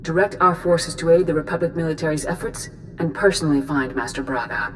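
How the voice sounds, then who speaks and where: A woman playing a stern, grave Jedi grand master in a video game, measured and authoritative. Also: sits low, natural voice. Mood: solemn, commanding. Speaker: military and spiritual leader. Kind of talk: dramatic scripted game acting. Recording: music behind the voice.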